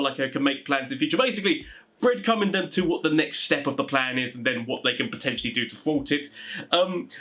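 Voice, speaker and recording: A man hosting a casual explainer for tabletop game masters, talking in an animated, rambling, informal way. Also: a little high. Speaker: video host giving tabletop game master advice.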